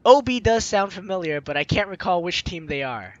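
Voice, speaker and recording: A man's voice: sits high, lively intonation, brisk, highs cut off. A young man hosting a let's play, reading game text aloud with informal, jokey asides. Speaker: host of a gaming let's play series. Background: game music.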